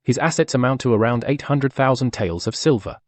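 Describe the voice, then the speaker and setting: A man's voice: medium pitch, a little fast, darkish tone, dry recording, natural voice. A man playing an earnest, deferential military aide in a dubbed comic drama, reporting formally to his superior.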